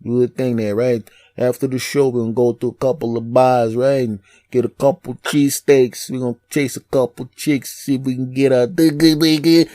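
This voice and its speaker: A man's voice: medium pitch, fairly even intonation, normal speed, dark tone, lightly acted. A man putting on a brash male South Philadelphia sports fan with a thick South Philly accent, cocky and rowdy.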